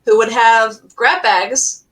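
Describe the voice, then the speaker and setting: A woman with a fairly high voice talks casually as a fan on a podcast panel. She is emphatic and gushing, often slipping into little acted-out bits of remembered conversation. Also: fairly even intonation, slow, fairly bright tone. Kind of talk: casual fan chat with animated anecdotes.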